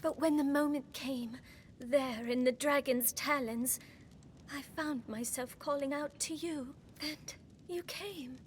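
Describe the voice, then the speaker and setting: High-voiced, devoted young noblewoman. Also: slow pace, a little hiss or hum. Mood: fearful, confessional. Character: tender young noblewoman, grateful rescued damsel. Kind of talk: dramatic fantasy game acting.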